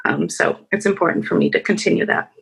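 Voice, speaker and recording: A woman from Chicago, a lawyer on a panel, telling her career story haltingly with many ums and restarts. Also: on the low side, gravelly, a bit bright, husky. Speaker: attorney on a panel. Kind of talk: panel discussion answer.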